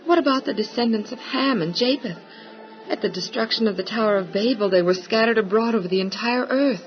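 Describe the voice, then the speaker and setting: An actress playing a practical, devoted but doubting wife of a patriarch in a biblical audio drama, questioning and cautioning. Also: female voice, on the high side, fairly quick, faint music behind, natural voice. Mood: doubtful. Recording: muffled lo-fi radio drama recording.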